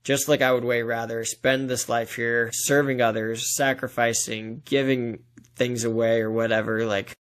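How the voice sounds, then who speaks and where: A man's voice: mid-range voice, little pitch movement, moderate pace. Earnest man speaking as a podcast guest. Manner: earnest exhortation, talks in analogies and imagined dialogue. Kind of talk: earnest testimony in a podcast interview.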